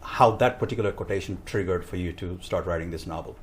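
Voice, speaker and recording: A man's voice: low-pitched, quick pace. A man speaking as a talk show host in a conversational interview.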